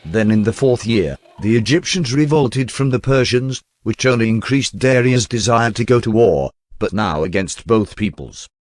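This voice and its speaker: A man reading a historical account aloud in a measured, storytelling documentary narration. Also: mid-range voice, dry recording, faint music behind. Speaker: reader of historical text.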